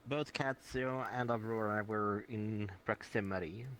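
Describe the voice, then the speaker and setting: Lowish-voiced man in formal roleplay. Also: fairly even intonation, a little slow, a bit bright. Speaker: roleplayer playing a guild member. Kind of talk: in-character roleplay conversation. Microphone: online voice chat in a virtual world. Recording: faintly noisy online voice chat.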